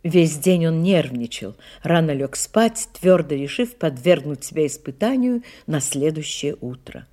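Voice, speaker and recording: A woman's voice: low-pitched, expressive, a little slow, hardly any pauses, warm tone. A woman narrating a detective audio play as a composed storyteller, in a scripted dramatic style. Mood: measured narration.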